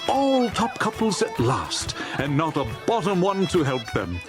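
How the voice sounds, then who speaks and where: Jovial guy narrating an audiobook drama, super animated, slightly high voice, music playing behind. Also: measured pace. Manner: theatrical, jovial, relishing lists.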